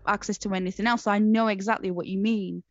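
A woman's voice: medium pitch, fairly even intonation, fast talker, muffled recording, over a noisy crowd. A woman in her thirties from Portugal, hosting a podcast in a chatty, rambling way. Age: 30s. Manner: chatty, rambling with many fillers. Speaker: podcast host. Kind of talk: casual chat.

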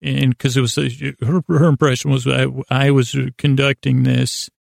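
An American man telling rambling, improvised stories as a podcast host, halting and often interrupting himself with deadpan whimsy. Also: mid-range voice, no room sound, studio quality. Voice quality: gravelly, creaky. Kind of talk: rambling, improvised monologue storytelling.

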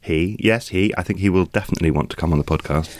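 A man's voice: sits low, fast, no echo. A man co-hosting a podcast, with a dry, joking manner. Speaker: podcast co-host. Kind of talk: podcast discussion. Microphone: studio mic.

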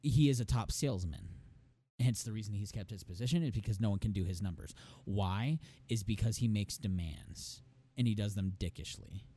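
A man's voice: fairly low pitch, moderate pace, warm tone. A young man with a phlegmy, strained voice, telling a heated, profane story about a bad manager in casual chat. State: phlegmy, losing his voice.

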